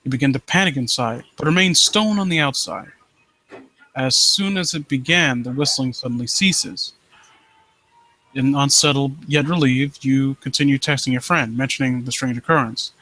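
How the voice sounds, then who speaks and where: A man hosting a live stream, reading and commenting on stories in a halting, rambling way and often rephrasing himself. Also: medium pitch, pushed and bright, poor audio. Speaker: live stream host reading and critiquing amateur horror stories. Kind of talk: story read-aloud and casual commentary.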